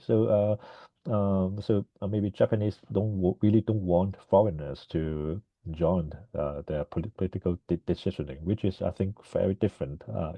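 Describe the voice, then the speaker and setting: Low creaky-voiced guy on a dull video call, lots of uhs, answering a question slowly. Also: dry recording. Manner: hesitant, many fillers. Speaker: conference presenter. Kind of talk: academic Q&A answer.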